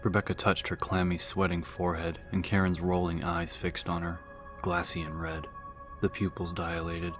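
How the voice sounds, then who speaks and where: Low-voiced man narrating an audiobook. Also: monotone, moderate pace, music in the background. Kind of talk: dramatic scripted narration.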